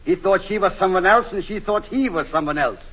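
An actor playing a smug, menacing Nazi spy leader with a German accent in 1940s radio style. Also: male voice, high voice, fairly quick, breathy edge. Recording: muffled, hissy 1940s radio recording.

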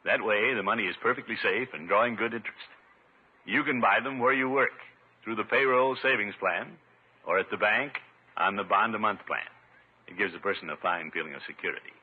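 Pompous middle-aged commissioner, blustering, husky, 1950s radio comedy, narrow muffled recording. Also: male voice, lowish voice, fairly quick. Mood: genial, confiding.

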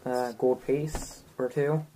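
A woman's voice: rumbling low, fairly even intonation, slow pace, dark tone, rough recording. A woman chatting casually as a player in a tabletop game, with wry, dry joking.

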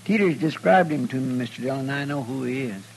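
A man's voice: medium pitch, fairly quick, creaky, close to an everyday voice, low-quality recording. A man playing a folksy, anxious, deferential frontier sidekick with a rural American drawl in an old radio western, recorded with hum and noise. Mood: worried, curious. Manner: folksy, hesitant, eager. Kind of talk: old-time radio drama acting.

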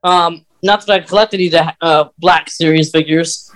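Male podcast host, noticeably high voice, informal conversational delivery. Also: fairly even intonation, normal speed, a bit bright, rough recording. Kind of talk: casual fan podcast chat.

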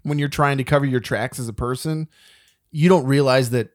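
A man co-hosting a podcast, chatting about a movie in a relaxed, profane way with chuckling asides. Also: mid-range voice, a little fast, dry recording, clean studio sound. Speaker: podcast co-host. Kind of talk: casual movie review chat.

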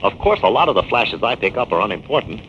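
An actor playing an earnest scientist hero who explains firmly, in 1940s radio acting style. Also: male voice, on the low side, sing-song, fast. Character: male scientist hero, firm and fatherly. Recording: dull old radio transcription with hiss.

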